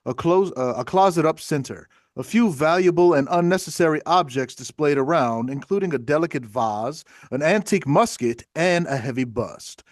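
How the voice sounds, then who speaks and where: Higher-pitched man narrating, close dry sound. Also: animated, moderate pace, few pauses, no room sound. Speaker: stage directions reader. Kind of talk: scripted narration for a play table read.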